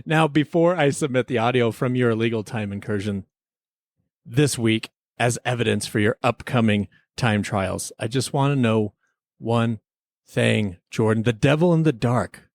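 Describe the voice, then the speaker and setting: A man's voice: medium pitch, moderate pace, dry recording, clean studio sound. A man hosting a podcast, moving between casual banter and playful, mock-serious scripted presenting. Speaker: podcast host.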